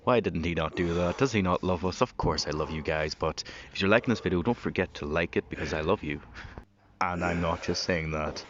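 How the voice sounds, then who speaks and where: A man's voice: deep voice, a little fast, fairly bright tone. A man doing voice-over commentary with dry, self-mocking humor. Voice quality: husky. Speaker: vlogger. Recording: dull lo-fi voice-over with noise.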